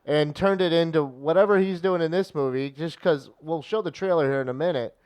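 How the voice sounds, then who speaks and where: A man's voice: on the high side, a little fast, darkish tone, clean tone. A man co-hosting a casual podcast, chiming in with dry, offhand remarks. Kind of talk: casual chat.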